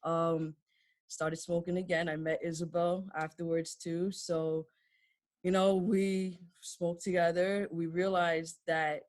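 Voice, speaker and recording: A woman's voice: lowish voice, flat delivery, slow, darkish tone. A woman in her thirties from New York, a podcast guest telling her story in casual chat, candid and laughing often. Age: 30s.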